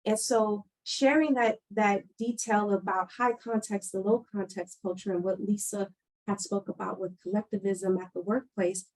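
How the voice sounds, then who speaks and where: A woman leads a panel discussion, explaining her points in a measured, thoughtful way. She pauses often with fillers as she builds her argument. Her voice sits at an ordinary middle pitch. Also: darkish tone, rough recording. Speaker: panel moderator.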